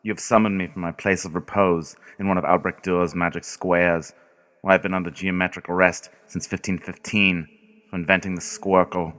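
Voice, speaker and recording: A man's voice: sits low, flat delivery, moderate pace, a bit bright. A man playing a haughty, condescending demon in a radio play, speaking in a pompous, formal way. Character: haughty male demon, courtly, condescending, fiendish. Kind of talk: theatrical radio play monologue.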